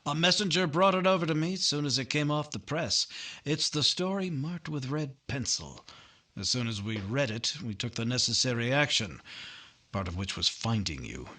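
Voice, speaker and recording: Man playing a forceful, insistent district attorney. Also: medium pitch, lively intonation. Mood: firm, insistent. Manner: deliberate, pointed, authoritative. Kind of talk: 1950s radio drama acting.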